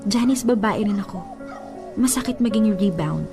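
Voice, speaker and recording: A woman's voice: medium pitch, expressive, moderate pace, dark tone, natural voice. An actress plays a young Filipino-accented woman, a jealous ex fighting for her man. She is emphatic and confrontational, in radio drama style, with music underneath. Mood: taunting, angry. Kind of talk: radio drama acting in mixed Tagalog and English.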